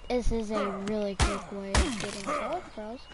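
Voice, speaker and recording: A woman with a hoarse, strained voice from a sore throat, rambling casually as she comments on her own gameplay. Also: medium pitch, moderate pace, over loud music. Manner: rambling, talks to herself while playing. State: hoarse, recovering from strep throat. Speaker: gamer.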